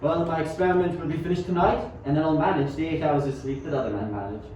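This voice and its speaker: A man's voice: on the high side, fast, darkish tone, natural voice. A young man acting an earnest, obsessive 19th-century scientist in an amateur radio play, with dramatic, formal delivery. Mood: polite, eager. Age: young adult. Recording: very echoey, distant, dull, faint noise.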